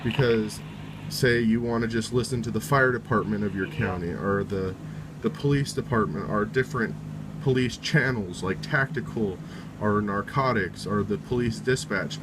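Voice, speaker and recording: Low-voiced man teaching over background noise. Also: normal speed. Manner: informal, repetitive, reiterating each step. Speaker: tutorial presenter. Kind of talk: casual how-to instruction, step by step.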